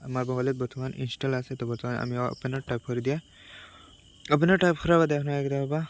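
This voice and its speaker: The Sylheti man on a small how-to channel, rattling through tap-by-tap instructions in an even, unvarying voice. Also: medium pitch, clear, resonant tone. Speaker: tech vlogger giving a phone tutorial. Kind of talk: casual step-by-step tutorial.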